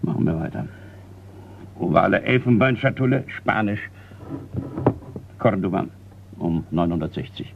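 Excitable older colonel, creaky, swooping voice. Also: male voice, deep voice, unhurried, long pauses, background noise, heavily acted. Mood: businesslike. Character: retired colonel and art collector, proud, easily agitated. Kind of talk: German radio crime play acting. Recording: muffled, slightly lo-fi radio play recording.

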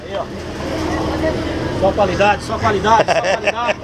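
A very high-pitched Brazilian man chats and jokes while filming at a street market. His talk is casual banter aimed at viewers. Heavy crowd noise surrounds him outdoors. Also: fairly even intonation, measured pace, rough recording. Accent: Brazilian Portuguese. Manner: playful, joking banter. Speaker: vlogger, former market vendor. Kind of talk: casual vlog commentary. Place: busy outdoor street market under awnings. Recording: loud crowd noise in open-air market. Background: loud market crowd.

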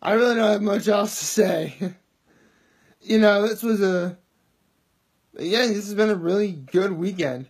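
A young man with a naturally loud, high voice talks straight to his phone camera at arm's length in his living room. He chats in an upbeat, rambling way, full of asides. The feel is an easygoing daily video diary. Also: a little slow, long pauses, husky. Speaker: vlogger. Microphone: phone camera held at arm's length.

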